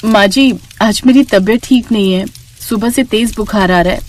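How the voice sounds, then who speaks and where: A woman voicing a gentle, dutiful young daughter-in-law in a family story, patient and softly reasoning, with self-respect. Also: mid-range voice, moderate pace, a little hiss or hum, close to an everyday voice. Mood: weary, apologetic.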